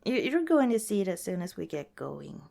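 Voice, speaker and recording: A woman playing a kind, cautious young scavenger in an ASMR roleplay, speaking softly and hesitantly, as if chatting to the listener. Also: on the low side, very animated, moderate pace, vocal fry, heavily acted. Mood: wry, musing. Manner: soft, hesitant, conversational, with sighs and small laughs.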